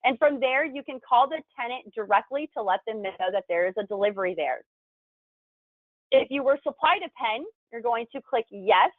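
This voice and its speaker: A woman's voice: on the high side, lively intonation, moderate pace, no room sound. A woman narrating an instructional video, explaining things step by step as a tutorial presenter. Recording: thin phone-like band-limited sound, dry.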